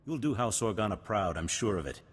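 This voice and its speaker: An actor playing a noble, resolute duke in a video game, husky, formal and stately in delivery. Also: male voice, on the low side, animated, a little fast. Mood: grave, determined. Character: noble, resolute older duke, solemn war leader. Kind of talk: cinematic video game voice acting. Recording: long hall reverb, music behind.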